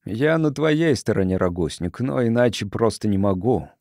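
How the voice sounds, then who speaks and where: An earnest male scientist from Earth, played by a man reading an audiobook. He is polite and reasons patiently in a mellow voice. The sound is dry. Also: fairly low pitch, lively intonation, moderate pace, no echo. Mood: earnest pleading. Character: earnest, conciliatory male visiting sociologist from Earth.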